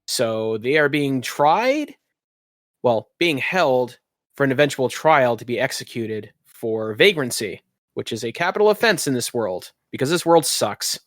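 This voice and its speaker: A man's voice: medium pitch, big pitch swings, a little slow, a bit bright, no room sound, clean studio sound. A man hosting as game master, narrating a tabletop roleplaying game descriptively with wry asides. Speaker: game master and podcast host.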